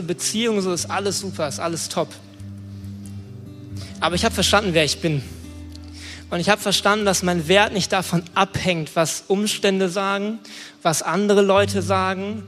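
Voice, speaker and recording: Young guy preaching casually, high voice, keeps hunting for words, music playing behind him. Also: a bit bright. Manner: colloquial, hesitant, groping for words. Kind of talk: informal youth sermon with personal testimony. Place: large room, church service on stage. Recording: echoey hall, music behind.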